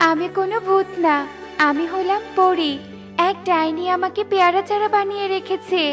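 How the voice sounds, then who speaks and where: A woman voicing a gentle fairy in a children's cartoon, reassuring and explaining. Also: extremely high pitch, fairly even intonation, moderate pace, over music. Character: gentle, grateful female fairy trapped by a witch.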